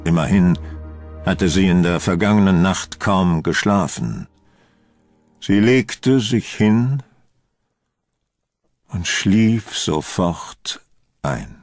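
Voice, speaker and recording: A man's voice: deep voice, expressive, drawn-out words, long pauses, darkish tone, dry recording, clean studio sound, soft background music. A man narrating a scripted horror story as the narrator of an audio drama.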